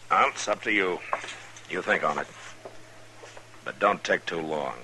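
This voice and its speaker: An actor playing a steady, protective American frontier marshal in an old-time radio western, plain-spoken and firm. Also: male voice, low-pitched, measured pace, background noise. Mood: easygoing, reassuring.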